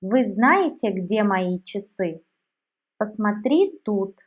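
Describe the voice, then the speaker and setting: A woman teaching a language lesson, saying each word separately with long pauses. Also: medium pitch, sing-song. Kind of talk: slow, deliberate language lesson.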